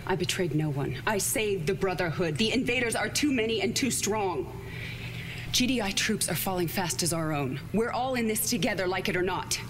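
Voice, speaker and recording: A woman's voice: medium pitch, animated, normal speed, background noise, lightly acted. An actress playing a cold, ambitious female military leader in a game cutscene, speaking formally and with authority. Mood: defiant.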